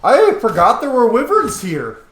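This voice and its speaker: Excitable man with lively, swooping pitch. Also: unhurried. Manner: excitable, informal, swearing. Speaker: let's play host. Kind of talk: casual gameplay commentary to viewers. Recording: roomy echo, faint background noise.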